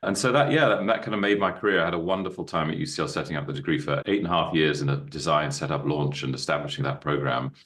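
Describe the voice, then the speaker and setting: A man in his fifties with a bass voice, a guest on a podcast, telling his story in an animated, expansive way. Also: fast talker, hardly any pauses. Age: 50s. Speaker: guest, university co-founder. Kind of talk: podcast interview.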